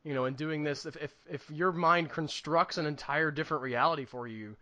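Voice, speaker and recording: Bright, high-pitched man chatting casually. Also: brisk. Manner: wry, opinionated, mildly sweary. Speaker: podcast co-host reviewing movies. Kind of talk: casual chat.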